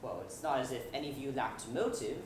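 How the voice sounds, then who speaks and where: A woman's voice: rumbling low, expressive, fast. A young woman on stage playing a dry, impatient police inspector in a murder farce, pompous and exasperated. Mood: pompous accusing. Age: young adult. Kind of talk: comedic student stage acting. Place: theatre stage. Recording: very echoey stage, distant, noisy.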